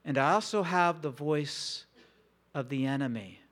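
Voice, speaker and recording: Male pastor, unhurried and reflective, slightly high voice, intimate sermon delivery. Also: a bit bright. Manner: slow, reflective, intimate delivery with long pauses.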